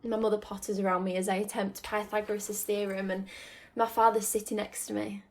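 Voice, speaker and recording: A woman acting a wistful figure remembering the family home, in a reflective radio drama monologue full of pauses. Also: medium pitch, flat delivery, a little mellow, lightly acted. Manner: slow, reflective, pausing. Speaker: actor in a student radio drama.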